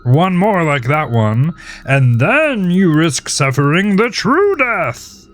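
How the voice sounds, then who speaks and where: Manic, high-pitched carnival showman. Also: sing-song, takes it slowly, a bit bright, soft background music, full character voice. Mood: gleeful menace. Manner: exuberant, theatrical announcer-like cheer. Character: manic, gleeful male carnival host tormenting the damned. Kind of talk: horror audio fiction character voice.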